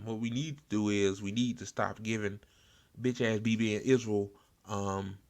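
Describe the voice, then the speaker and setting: A man hosting an informal political talk show, speaking in a blunt, sarcastic, profanity-heavy way with halting repetition. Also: medium pitch. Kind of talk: informal political rant with commentary.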